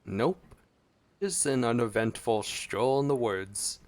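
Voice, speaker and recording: A woman's voice: very deep voice, expressive, slow pace, darkish tone. A woman giving laid-back, casual reaction commentary as a gameplay streamer, in brief remarks.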